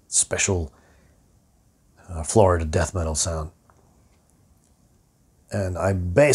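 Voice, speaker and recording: A middle-aged man with a hoarse voice, rambling and swearing through a casual rant about his music to the camera. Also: lowish voice, darkish tone. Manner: rambling, profane, self-interrupting. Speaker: metal musician and vlogger.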